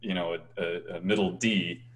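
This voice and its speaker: A young man with a light, easygoing voice chats on a video call. He talks with animated swings in tone, laughs often and stumbles through restarts. It sounds like a small room at home. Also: deep voice, normal speed. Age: young adult. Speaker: choir bass singer, guest. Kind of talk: casual video-call interview.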